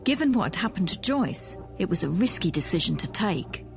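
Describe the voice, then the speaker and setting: A woman presenting a documentary as a historian, in measured, articulate scripted narration. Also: medium pitch, big pitch swings, creaky, music in the background.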